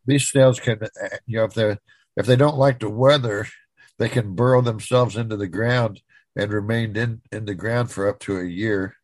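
A man from Florida co-hosting a casual talk show, retelling a news article in a halting, rambling way. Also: lowish voice, steady tone, a little mellow, no echo. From Florida, United States.

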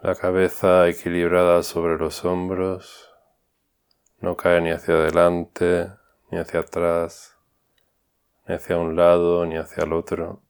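A man leading a guided meditation, giving calm, unhurried instructions with long pauses. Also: sits low, creaky, smooth.

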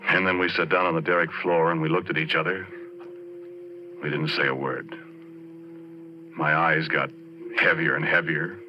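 A man playing a folksy working-class oil driller, telling an eerie tale in halting, ungrammatical speech as 1940s radio drama. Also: a bass voice, flat delivery. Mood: weary, brooding. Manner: colloquial, ungrammatical, halting, confiding. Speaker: narrator and lead character of a radio drama. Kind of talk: 1940s radio drama first-person storytelling. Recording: dull 1940s radio recording with music.

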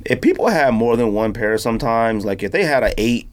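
A man's voice: mid-range voice, expressive, brisk. A man hosting a podcast in casual, slangy conversation, telling stories and acting out quotes. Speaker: podcast host.

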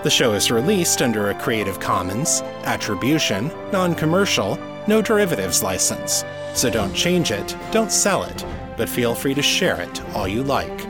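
A man's voice: medium pitch, sing-song, moderate pace, music in the background. A man reading scripted podcast credits as the host.

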